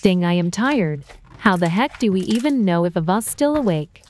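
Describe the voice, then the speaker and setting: A woman's voice: lowish voice, expressive, normal speed, a little mellow, a little hiss or hum, natural voice. A woman playing a casual, worried teenage girl in an amateur scripted storytime drama. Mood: conspiring, weary. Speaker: voice actor in an animated storytime drama. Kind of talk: scripted amateur roleplay dialogue.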